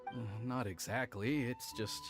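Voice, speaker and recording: A man's voice: mid-range voice, measured pace, lightly acted. A man voicing an earnest, troubled young guard in a video game, polite, dutiful and hesitant. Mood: downcast, troubled. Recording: game music behind the voice.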